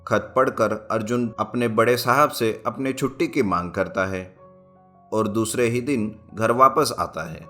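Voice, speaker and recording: A man's voice: fairly low pitch, measured pace, sharp tone, soft background music. A man narrating a scripted children's moral story as a storyteller.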